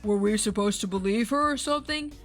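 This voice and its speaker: A woman voicing a concerned, puzzled middle-aged father in a storybook, speaking in a measured way. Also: mid-range voice, faint music behind, close to an everyday voice.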